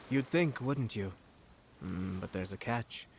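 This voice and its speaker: An actor playing a composed young noble commissioner in a video game, speaking with measured, courteous, quietly sardonic calm. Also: male voice, fairly low pitch, a little hiss or hum, full character voice. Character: composed noble male commissioner, politely cutting. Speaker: game character.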